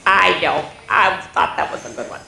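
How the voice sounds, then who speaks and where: Children's story time host, woman, lowish voice, expressive read-aloud with exclaimed asides, echoey room. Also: moderate pace, creaky. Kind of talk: reading a picture book aloud to children, with asides. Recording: roomy, echoing indoor sound.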